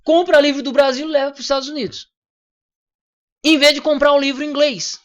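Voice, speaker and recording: A man's voice: very high-pitched, fairly even intonation, fairly quick, lo-fi sound. A Brazilian man with a Brazilian Portuguese accent, hosting a casual opinion monologue in a blunt, slangy manner with free swearing. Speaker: channel host.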